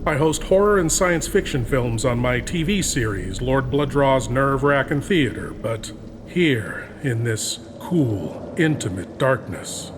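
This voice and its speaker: A man speaking as a horror show host, delivering a theatrical, drawn-out and ominous scripted introduction. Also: medium pitch, lively intonation, background noise. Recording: music playing behind. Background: music.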